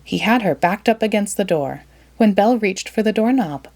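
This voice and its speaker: A woman storyteller reading a children's storybook aloud in an expressive manner. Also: medium pitch, normal speed.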